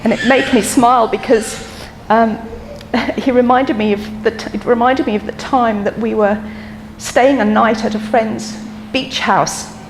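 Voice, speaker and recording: A woman's voice: fairly high pitch, fairly even intonation, long reverb tail, background noise. Heartfelt woman with a mixed accent. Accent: mixed London, Irish and Australian. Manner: heartfelt, measured, with pauses. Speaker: eulogist, the deceased's daughter. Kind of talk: eulogy. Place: memorial service hall.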